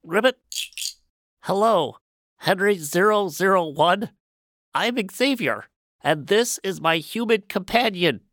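Lively talking frog played by an actor. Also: medium pitch, slow, long pauses, no echo, clean studio sound. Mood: wary, curious. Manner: punctuates speech with croaked ribbits. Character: small talking male frog, polite and curious companion. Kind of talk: audio drama acting.

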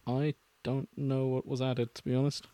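A young man co-hosting a podcast, reading fan fiction aloud with deadpan, mocking asides. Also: medium pitch, normal speed, dry recording. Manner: wry, deadpan, dips into mocking quotes. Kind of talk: casual chat and comic dramatic reading.

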